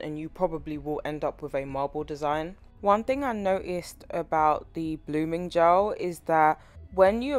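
A young woman with a low voice that is a little hoarse from a sore throat talks through a nail tutorial voiceover in a chatty, rambling way. Faint music plays under her. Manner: chatty, rambling, self-deprecating with laughs. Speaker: nail art tutorial host. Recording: voice-over with faint background music.

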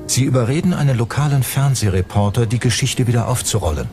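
A man's voice: mid-range voice, lively intonation, quick pace, music in the background. A man reading scripted true-crime documentary narration as the narrator.

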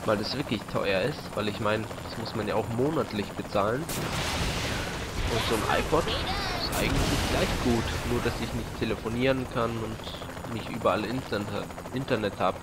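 A young man giving casual, rambling and slightly halting commentary as he plays a video game on a headset. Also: medium pitch, animated. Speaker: let's play host. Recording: loud game gunfire and noise behind voice. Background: video game gunfire, vehicles, helicopter.

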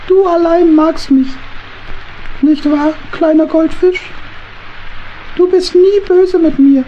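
A woman's voice: very high voice, steady tone, takes it slowly, dark tone, lo-fi sound, slight background noise. A woman voicing a small, timid, childlike hedgehog with plaintive speech in a children's audio drama. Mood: timid, sad.

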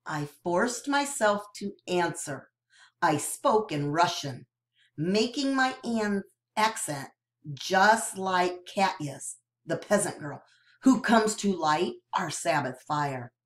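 Woman narrator for a storybook reading: low voice, lively swings, unhurried pace. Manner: expressive, storytelling delivery. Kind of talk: reading a novel aloud.

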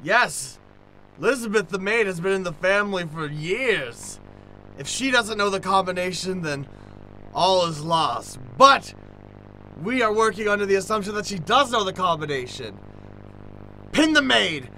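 A man acts out a theatrical, drunk schemer from an old-time radio play. The voice is high and sharp, grand and dramatic in delivery. Some noise sits behind it. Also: expressive, a little slow, full character voice, low-quality recording. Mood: grandiose. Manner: grandiose, dramatic, swinging from murmurs to shouts. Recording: noticeable background noise.

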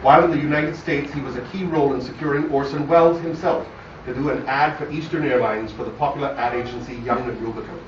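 A man with a Barbadian accent delivers a scripted memorial speech about his father in measured, formal storytelling fashion. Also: medium pitch, fairly even intonation, slight background noise. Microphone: phone camera far from the speaker. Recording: distant, muffled phone recording, echoey room.

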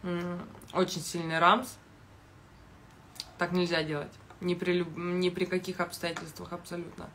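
A young woman giving casual video commentary in a blunt, halting, heavily sweary manner, recorded on a phone in a kitchen. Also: fairly low pitch, level tone, fairly bright tone. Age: young adult. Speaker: reality show commentator. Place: small kitchen indoors. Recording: noticeable background noise.

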